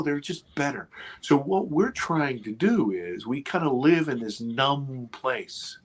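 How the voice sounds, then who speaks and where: Husky, animated man in his fifties. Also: fairly high pitch. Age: 50s. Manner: animated, swears freely, peppers points with "right?" and "okay". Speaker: podcast guest. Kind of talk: casual podcast interview.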